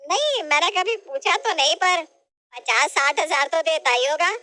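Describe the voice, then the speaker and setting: A woman's voice: high voice, animated, normal speed, no room sound, pitched above the performer's own voice. A woman voicing a stern, scolding middle-aged mother-in-law in a storybook tale. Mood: concerned. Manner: scolding, sharp and demanding. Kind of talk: storybook character voice.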